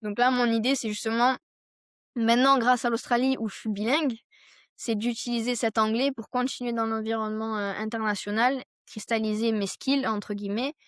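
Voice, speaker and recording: A woman's voice: on the high side, fairly even intonation, a little fast, clear, resonant tone, no room sound, clean studio sound. A young adult woman from France, a podcast guest and entrepreneur, talking things through in long winding runs with many fillers. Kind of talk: casual conversational interview.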